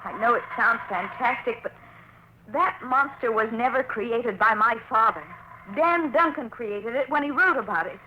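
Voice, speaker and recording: A woman's voice: a little high, lively intonation, moderate pace, pushed and bright. An actress playing an anxious, earnest young woman, a scientist's daughter, in a 1940s suspense radio drama, with a dramatic delivery. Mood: intense, earnest. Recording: noisy old radio broadcast recording.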